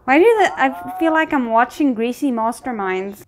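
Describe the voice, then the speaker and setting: A woman with a fairly high voice reacts aloud to something she is watching. Her commentary is casual and unscripted, dropping into muttered, wry asides. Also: expressive, normal speed. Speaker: reaction video host.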